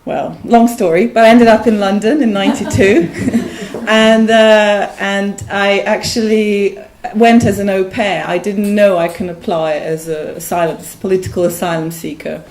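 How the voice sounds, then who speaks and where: A woman's voice: mid-range voice, moderate pace, few pauses, a little mellow. Hesitant woman from Bosnia, roomy gallery. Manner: many ums, plain and personal. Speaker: artist speaking at a panel. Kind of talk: hesitant panel talk. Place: gallery room. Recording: roomy gallery with faint noise.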